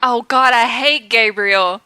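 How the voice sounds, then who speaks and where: A woman's voice: fairly high pitch, takes it slowly, bright, forceful tone, smooth, no echo. A young woman co-hosting a podcast, chatting casually, enthusiastic and blunt, often laughing. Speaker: podcast co-host. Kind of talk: casual chat.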